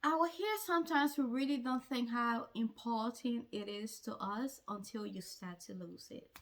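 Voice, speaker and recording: A woman's voice: fairly high pitch, moderate pace. A woman vlogger telling a personal story to camera in an earnest, emphatic way, repeating phrases to drive points home. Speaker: hair-care vlogger.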